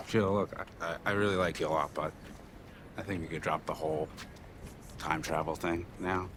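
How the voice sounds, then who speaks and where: An actor playing an awkward young man, speaking haltingly and earnestly in a naturalistic screen style. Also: extremely low pitch, fairly even intonation, noisy background. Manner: halting, hesitant.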